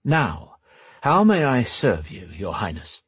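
A man plays a suave male rogue in an audiobook, all polished courtesy and amiable ease. His speech is formal and theatrically polite, recorded dry and close, with a slightly husky edge. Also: medium pitch, huge pitch swings, slow pace, no echo, voice pushed up, exaggerated voice. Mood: smooth, courteous. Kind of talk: audiobook character dialogue.

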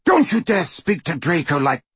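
A haughty lady of a wizarding house, voiced by a man in a storybook reading. The tone is indignant and commanding, lifted high, with a very husky edge and a dry, close sound. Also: sing-song, a little fast, dry recording, full character voice.

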